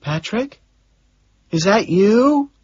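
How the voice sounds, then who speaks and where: A man voicing a soft-voiced, flustered young woman secretary who keeps apologizing and repeating herself. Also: sits high, lively intonation, drawn-out words, no room sound, dull audio, pitched above the performer's own voice, exaggerated voice. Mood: worried. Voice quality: soft, breathy.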